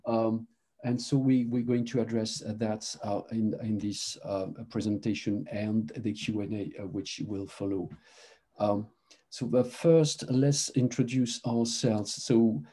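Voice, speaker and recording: A middle-aged man from France with a French accent, presenting a webinar over a video call and talking haltingly with many fillers and restarts. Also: medium pitch, steady tone, warm tone, slightly rough. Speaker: presenter, mobile engineering manager.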